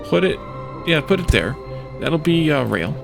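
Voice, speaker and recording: Gravelly-voiced man narrating his gameplay. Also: a little high, very animated, measured pace. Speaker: let's play host. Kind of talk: casual video game commentary, thinking aloud. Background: game music.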